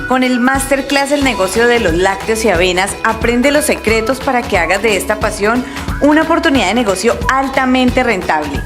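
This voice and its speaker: A woman's voice: a little high, lively intonation, moderate pace. A woman announcer reading a scripted advertisement in an upbeat, persuasive way. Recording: voice over loud background music.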